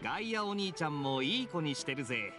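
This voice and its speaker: A smooth-talking young swordsman in an anime game, lively in intonation. He is easygoing and teasing like a big brother, with music clearly behind him. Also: on the high side, fairly quick.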